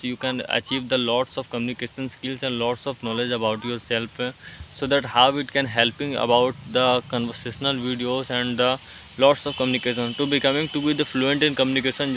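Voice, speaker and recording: A man with an Indian accent, Hindi-speaking by birth, talks in a flat, level tone, stopping often for fillers and restarted phrases. The sound is dull and lo-fi, with the top end rolled off. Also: mid-range voice, slight background noise. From India, Jharkhand. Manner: halting, many fillers and restarts. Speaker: English-learning vlogger. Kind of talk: casual motivational talk to camera.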